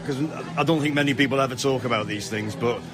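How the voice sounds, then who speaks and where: A man's voice: mid-range voice, quick pace. A man presenting and interviewing on local radio in a chatty, enthusiastic, effusive way. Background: music.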